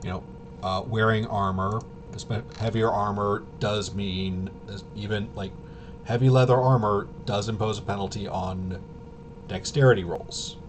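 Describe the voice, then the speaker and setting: A man casually explaining game rules unscripted, halting and rambling as he thinks aloud. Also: fairly even intonation. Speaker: tabletop RPG reviewer and explainer. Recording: clearly noisy with low rumble.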